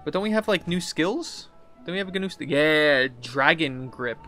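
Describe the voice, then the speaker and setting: A man's voice: a little high, expressive, moderate pace, pushed and bright. A man in his thirties giving casual video game commentary as a streamer, thinking aloud in an informal way. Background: game music.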